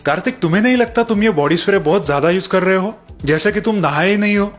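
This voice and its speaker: A man's voice: high voice, fast talker, soft background music, voice pushed up, lightly acted. A man voicing a sensible, mildly nagging middle-aged father in a story cartoon. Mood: chiding, practical. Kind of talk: Hindi story cartoon dubbing.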